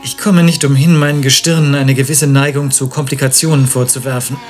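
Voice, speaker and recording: An actor playing a fussy, verbose eccentric in a satirical radio drama, speaking in an affected, precise way. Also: male voice, medium pitch, little pitch movement, brisk, faint music behind, natural voice. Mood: fussy, rueful.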